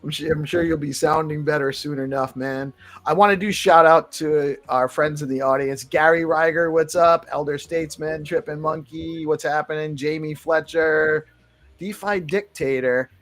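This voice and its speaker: A man's voice: on the high side, fairly even intonation, moderate pace, fairly bright tone. A man hosting a casual morning talk show, chatting conversationally with guests. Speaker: host.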